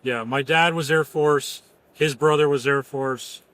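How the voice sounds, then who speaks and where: A man's voice: a little high, fairly even intonation, measured pace, a bit bright, no room sound. A man from near Philadelphia, a former Marine and company founder, telling his story in an easygoing, matter-of-fact way. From Pennsylvania, near Philadelphia. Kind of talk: casual interview.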